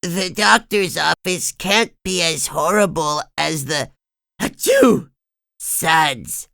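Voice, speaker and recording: Woman voicing sick cartoon sponge boy: very high, nasal, stuffy, slow delivery, dry read. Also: gravelly, pushed and bright, no echo, full character voice. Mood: sick, pleading. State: sniffly with a cold. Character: cheerful young male sea sponge, eager. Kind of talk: storybook read-aloud.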